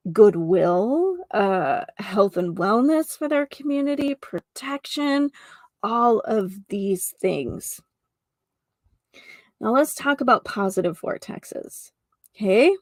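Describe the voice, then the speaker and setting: A woman's voice: medium pitch, no room sound. A woman hosting an informal spiritual teaching podcast, explaining earnestly with emphatic repetition, partly reading from notes. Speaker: podcast host. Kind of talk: informal spiritual lesson, part read from notes.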